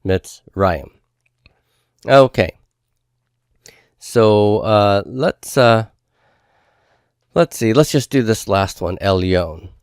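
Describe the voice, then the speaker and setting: Slow, low-voiced man teaching patiently. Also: lively intonation, clean tone, no echo, studio quality. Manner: patient, step-by-step explaining. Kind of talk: homework review lecture.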